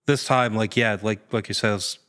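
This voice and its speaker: A man's voice: lowish voice, fairly even intonation, fairly quick, a bit bright, clean tone. A man speaking as an actor guest on a podcast, casual and a little hesitant as he thinks aloud. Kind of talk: casual interview chat.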